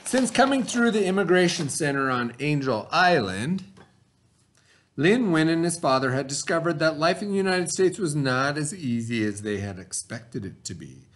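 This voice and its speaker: A man's voice: on the high side, very animated. Male teacher reading a lesson aloud. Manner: instructional, pausing to explain and ask questions. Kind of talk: read-aloud lesson with explanatory asides.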